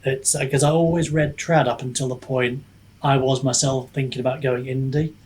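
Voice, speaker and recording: A man's voice: medium pitch, steady tone, normal speed, darkish tone, a little hiss or hum, low-quality recording. A man speaking as a podcast guest in casual discussion, reflective and hesitant as he thinks aloud. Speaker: podcast guest, author and book reviewer.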